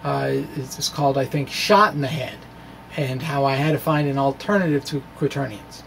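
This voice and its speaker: A middle-aged man talks through science as an informal video blogger. His delivery is wry and halting, with bursts of theatrical emphasis. He speaks into a microphone in a small room, with faint noise behind him. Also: medium pitch, steady tone, fairly bright tone. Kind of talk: informal explanatory monologue, dramatic reading of own blog. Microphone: handheld-style microphone on stand in front of him. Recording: clear background room noise.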